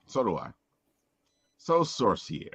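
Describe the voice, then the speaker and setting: A man's voice: fairly low pitch, wildly swooping pitch, slow pace, creaky, fairly bright tone, husky, dry recording. A man hosting a casual interview, complimentary and often repeating his words as he goes, heard through somewhat dull audio. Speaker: show host.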